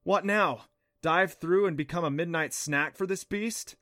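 A man's voice: a little high, measured pace, sharp tone, no room sound, pitched above the performer's own voice. Boy adventurer, read by a man, wary, sardonic, grumbling, nervous, fantasy audiobook character, dry close sound. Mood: grim.

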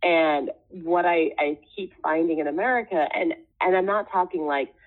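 A woman's voice: lowish voice, normal speed. A woman from the Caribbean, a guest in an interview, speaking earnestly and emphatically, stacking up point after point. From Caribbean, Jamaica and Trinidad. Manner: emphatic, building long lists. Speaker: guest, diversity consultant. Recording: tinny phone-like line.

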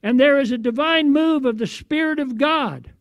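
A man preaching in a declamatory way, recounting a prophetic vision in short phrases with deliberate pauses. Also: extremely high pitch, no room sound, dull audio. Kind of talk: prophetic sermon narration.

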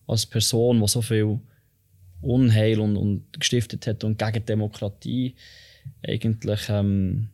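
A man interviewing a guest, with a low and rather flat voice. He asks his questions in a measured way, pausing to search for words.

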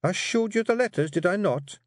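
A man reading an audiobook, voicing a sharp, clever female pixie clan matriarch with a coaxing, brisk manner. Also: high voice, expressive, dry recording, studio quality, voice pushed up. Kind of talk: audiobook character voice.

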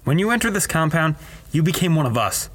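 A man's voice: fairly high pitch, sing-song, a little fast, a bit bright, exaggerated voice. A man playing a commanding cult leader in a radio play, speaking with casual, menacing assurance. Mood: ominous certainty. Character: male cult leader prophet, commanding, preparing for apocalyptic battle. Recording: clear background noise, clicks.

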